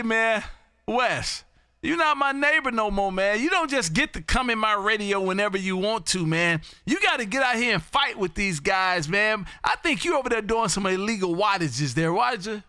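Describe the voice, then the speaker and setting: A loud, folksy man works the airwaves from his home radio room. His voice sits high and sounds sharp and bright, and he keeps repeating call signs. The station mic sounds dry and close. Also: animated, measured pace, smooth, dry recording. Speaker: ham radio operator hosting a live stream. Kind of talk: casual on-air radio chat.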